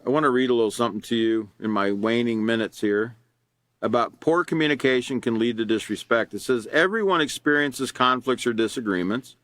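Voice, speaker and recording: A man teaching a character education class, reading from a workbook and explaining it in a loud, earnest way with many fillers and restarts. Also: medium pitch, dry recording. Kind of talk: reading and explaining a lesson live.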